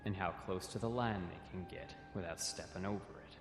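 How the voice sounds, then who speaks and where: A man's voice: sits low, a little fast. An actor playing a wary young male cadet who explains things in an audio comic drama. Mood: guarded. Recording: roomy echo, music behind.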